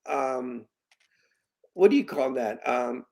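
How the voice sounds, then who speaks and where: Earnest halting man in his fifties. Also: mid-range voice, expressive. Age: 50s. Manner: halting, restarts often, earnest and emphatic. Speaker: guest, parent. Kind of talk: conversational interview.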